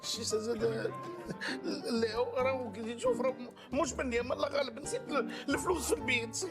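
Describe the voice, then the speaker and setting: A man voicing an excitable, stingy working-class Tunisian husband in a cartoon comedy, stammering, repeating words and wheedling. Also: very high voice, fast talker, loud music behind the voice. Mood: embarrassed. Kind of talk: animated sitcom dialogue.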